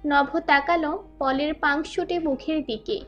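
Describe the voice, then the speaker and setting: A woman narrates a scripted drama as a storyteller. Her voice is high in pitch, and soft music runs behind the narration. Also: steady tone, measured pace, muffled recording. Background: faint background music.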